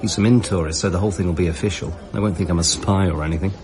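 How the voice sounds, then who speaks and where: An actor playing an eager, polite, bookish railway enthusiast with an English accent, earnest and slightly flustered, in a radio drama. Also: male voice, deep voice, steady tone, very fast, a little mellow, lightly acted.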